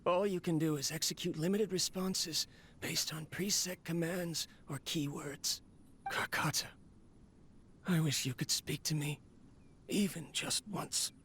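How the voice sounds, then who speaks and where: A man's voice: a little high, steady tone, unhurried, a bit bright, slight background noise. An actor playing a lonely, weary male researcher in a video game, speaking in a wistful, resigned monologue.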